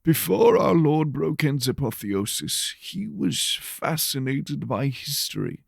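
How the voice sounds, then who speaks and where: A man voicing a grave, scholarly church rector who speaks formally and deliberately in an audio drama. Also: a little high, big pitch swings, creaky, dry recording, clean studio sound, pitched above the performer's own voice, exaggerated voice. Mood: solemn, explanatory.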